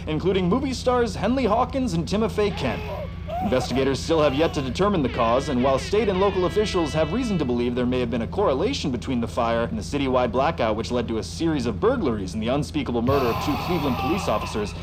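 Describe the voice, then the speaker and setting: An actor playing a solemn American news reporter, delivering a scripted report in an audio drama. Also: male voice, medium pitch, animated, fast, lightly acted, low-quality recording. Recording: music behind the voice.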